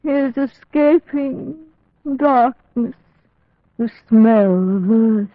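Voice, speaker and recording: An actress playing a frail young woman under a vampire's spell, halting and trancelike, in an old radio drama. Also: on the high side, dry recording, phone-quality sound. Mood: entranced. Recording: thin, band-limited vintage radio recording.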